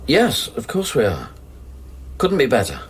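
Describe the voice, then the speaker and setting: A man's voice: medium pitch, huge pitch swings, a little slow, slightly rough, heavily acted. An actor in a radio drama playing an uneasy, guarded man who humours his uncanny lover with terse, placating replies. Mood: strained, reassuring. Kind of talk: intimate radio drama acting.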